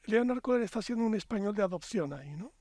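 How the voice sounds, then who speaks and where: A man's voice: high voice, expressive, fast, darkish tone, dry recording, studio quality. A man with a Spanish accent, a podcast guest, talking in long reflective, expansive stretches. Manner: reflective, expansive, builds long lyrical arguments. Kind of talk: casual podcast conversation.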